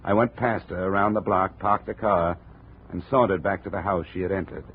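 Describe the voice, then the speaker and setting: An actor playing a wry, hard-boiled insurance investigator who narrates a 1950s radio drama in dry, sardonic first person. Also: male voice, low voice, fairly even intonation, fairly quick, husky. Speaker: narrator and lead. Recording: tinny old radio broadcast, faint hiss.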